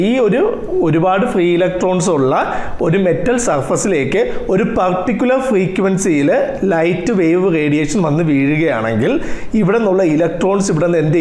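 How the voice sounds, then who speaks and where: Higher-voiced Malayali man teaching, roomy echo. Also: darkish tone, a little hiss or hum. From Kerala, India. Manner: instructive, constantly posing questions to students and answering them himself. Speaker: physics teacher at an online coaching class. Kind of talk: classroom lecture with English technical terms.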